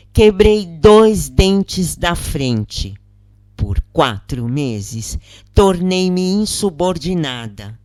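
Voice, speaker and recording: Slow, solemn woman narrator. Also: deep voice, darkish tone, no echo. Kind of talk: scripted first-person story narration.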